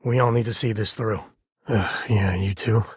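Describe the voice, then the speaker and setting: A man playing an easygoing, wry space-faring rogue and protective father in a video game, with a husky, rough-edged voice and a casual, folksy manner. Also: lowish voice, steady tone, normal speed, dry recording, studio quality. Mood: warm, candid. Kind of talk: video game character voice lines.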